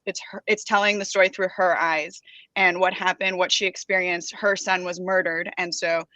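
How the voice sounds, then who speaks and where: An American woman from Los Angeles, a guest entrepreneur speaking softly, with easy laughs and some hesitant fillers. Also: lowish voice, level tone, normal speed, no room sound. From Los Angeles, United States. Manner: soft-spoken, laughs easily, hesitant fillers. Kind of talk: casual interview chat. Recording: dull lo-fi dry recording.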